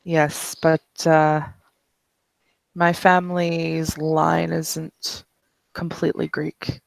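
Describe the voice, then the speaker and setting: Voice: mid-range voice, level tone, extremely slow, gravelly, no echo, poor audio. Narrator playing a composed woman scientist. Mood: friendly.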